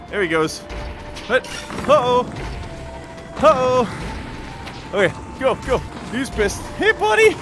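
A man giving excited live gameplay commentary in short, exclamatory bursts, talking to himself as he plays. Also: high-pitched. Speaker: gamer playing and commenting. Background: game music.

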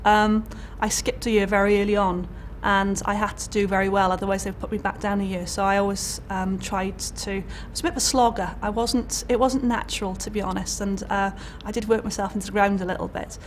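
Welsh woman lecturer chatting away in an interview, lots of ums and restarts, mid pitch, faint room hum. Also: fairly even intonation, fairly quick. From Wales. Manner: chatty, candid, many fillers and restarts. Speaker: college lecturer. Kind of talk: career interview. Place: indoor college room.

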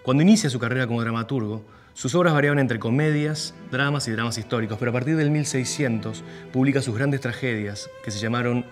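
A man reading a scripted voice-over in a brisk, informative way. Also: medium pitch, soft background music. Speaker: narrator.

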